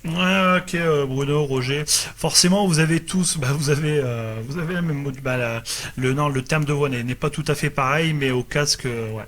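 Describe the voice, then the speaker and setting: Halting, higher-voiced man on radio. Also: fairly bright tone. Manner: halting, hesitant phrasing. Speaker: amateur radio operator. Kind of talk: casual radio chat.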